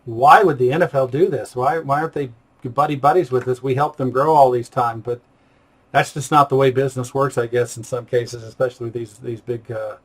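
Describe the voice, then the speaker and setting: A man's voice: medium pitch, steady tone, a little fast. A man hosting an interview in a casual, conversational way, thinking aloud as he explains. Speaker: interviewer, host.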